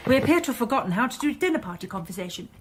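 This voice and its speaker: Frank, thoughtful woman, period radio drama: lightly high voice, expressive swings, faint noise. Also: very fast. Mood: frank, reflective.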